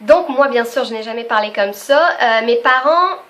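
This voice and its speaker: A young woman talks through her video off the cuff, in a fairly high voice. She laughs easily and fills her pauses with little hesitations, in an unscripted, chatty way. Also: lively intonation, fairly bright tone. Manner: chatty and spontaneous, laughs often, many fillers. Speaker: vlogger. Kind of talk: casual unscripted video chat.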